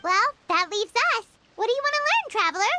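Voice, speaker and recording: A woman voicing a tiny, eager, childlike fairy companion with a squeaky, bubbly delivery in an anime game. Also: sing-song, fairly quick, noisy background. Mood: curious, upbeat. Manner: bubbly, speaks of self in third person. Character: tiny chirpy childlike floating fairy companion, eager, third-person talker. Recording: children's game music playing loudly behind.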